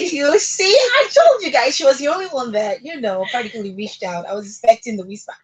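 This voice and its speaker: A Nigerian woman presenting an informal radio show from a studio, chatty, with hesitant pauses between phrases. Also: high voice, animated.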